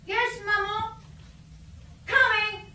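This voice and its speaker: Thirteen-year-old schoolboy, very high voice, defiant, guarded, curt replies, radio drama. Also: flat delivery, drawn-out words, pushed and bright, slight background noise, exaggerated voice. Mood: obedient. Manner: curt, stonewalling short answers.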